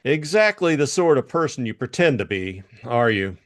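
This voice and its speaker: A man's voice: mid-range voice, sing-song, normal speed, lightly acted. A man playing a shrewd, cool private detective in a dramatized audiobook, blunt and knowing. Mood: probing.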